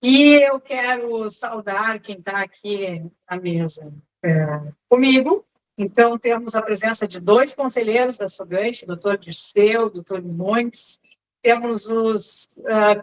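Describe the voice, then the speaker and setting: A woman's voice: mid-range voice, measured pace, poor audio. A woman hosting a panel, politely welcoming the guests and introducing each one by title. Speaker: host, moderator.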